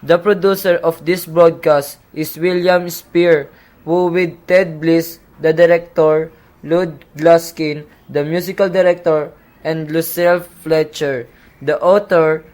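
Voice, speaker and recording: Casting: male actor as formal suspense radio announcer, higher-than-average voice, very slow, measured amateur delivery. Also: fairly even intonation, a little mellow. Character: formal male radio narrator and police sergeant. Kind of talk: amateur old-time radio play narration.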